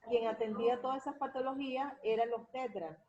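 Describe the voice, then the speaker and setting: A woman's voice: on the high side, fast, crowd noise. A woman moderating a casual webinar, hesitant and repeating words as she goes. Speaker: moderator reading audience questions. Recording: dull lo-fi online call audio.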